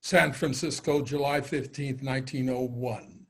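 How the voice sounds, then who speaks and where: Man acting as slow, declamatory male official. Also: medium pitch, fairly even intonation, vocal fry. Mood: formal, proclaiming. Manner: declamatory, deliberate. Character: formal male official reading proclamations and reports. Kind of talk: readers' theater recitation.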